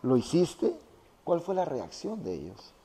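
A man's voice: fairly high pitch, wildly swooping pitch, unhurried, warm tone. A man interviewing a guest in a conversational style, in a reverberant room.

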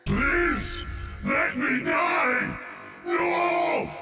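An actor voicing a fierce, tormented warrior in a video game, gravelly, with drawn-out dramatic battle cries. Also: male voice, extremely high pitch, huge pitch swings, exaggerated voice. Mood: anguished, desperate. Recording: echoey reverb, loud music behind.

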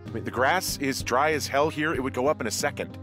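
An actor with an American accent playing a wary, level-headed fire lookout in a video game, hesitant and conversational. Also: male voice, medium pitch, fast talker, music in the background, lightly acted. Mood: cautious. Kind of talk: naturalistic video game dialogue over walkie-talkie.

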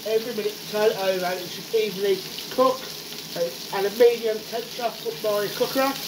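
A man's voice: high voice, steady tone, fast. A man hosting a casual home cooking show, chatting to viewers as he works at the stove. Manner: chatty, keeps saying "guys", working class speech. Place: home kitchen at the stove, frying sounds. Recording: noisy home kitchen with sizzling.

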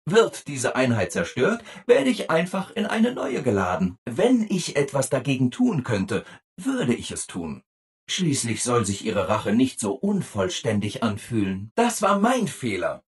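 Over-eager robot assistant, video game character, hugely swooping intonation, very husky rough-edged tone, relentlessly cheerful. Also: male voice, mid-range voice, huge pitch swings, unhurried, exaggerated voice. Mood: cheerfully apologetic, then upbeat, eager. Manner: chirpy, over-eager, exaggeratedly obliging.

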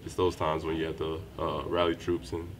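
A young college athlete with a very low voice speaks in an even, flat tone. He answers reporters calmly and steadily, with a lot of "you know" and "um". A clear hum of background noise runs under his voice. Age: young adult. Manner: low-key, measured, with frequent fillers. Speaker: college basketball player. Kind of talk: postgame press interview. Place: small indoor room by a wall. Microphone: handheld reporter mics held close.

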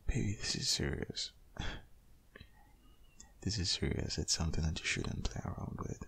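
A man playing a protective, worried boyfriend in an intimate ASMR audio roleplay, speaking insistently in a husky, half-whispered voice. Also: lively intonation, moderate pace, a bit bright, heavily acted. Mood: alarmed, worried. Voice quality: very deep, gravelly, husky, often half-whispered.

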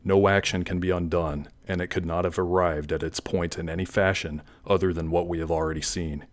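Man playing a very deep grandiose villain. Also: fairly even intonation, close to an everyday voice. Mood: sinister, grandiose. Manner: theatrical, deliberate. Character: grandiose scheming villain, cold and menacing. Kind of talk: audio drama acting.

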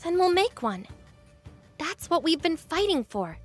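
Earnest young heroine, high, expressive. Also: female voice, measured pace, faint music behind, full character voice. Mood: determined. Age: young adult. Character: earnest, determined young princess. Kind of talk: dramatic video game acting.

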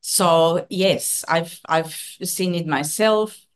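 A woman's voice: lowish voice, drawn-out words. A woman in her forties, not a native English speaker, speaking earnestly as a communication trainer on a video call, often checking in with "yeah?". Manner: earnest, coaching tone, frequent "yeah?" tags. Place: home office.

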